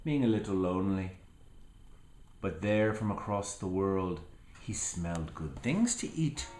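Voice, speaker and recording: A man reading a picture book aloud to a small child as a father, theatrical and playful, with asides and drawn-out words. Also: sits low, expressive, darkish tone, echoey room.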